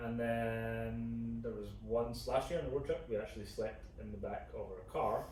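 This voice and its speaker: A man's voice: medium pitch, fairly even intonation, moderate pace, a little mellow. A young man with a Scottish accent, co-hosting a vlog in casual, informal chat, thinking aloud as he explains. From Scotland. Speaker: co-host of a couple's vlog. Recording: echoey room, distant mic, faint noise.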